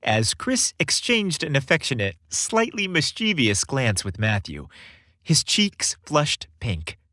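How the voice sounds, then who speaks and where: A man's voice: medium pitch, wildly swooping pitch, slow, pushed and bright, no echo, studio quality. A man narrating a scripted holiday story in a dramatic storybook style.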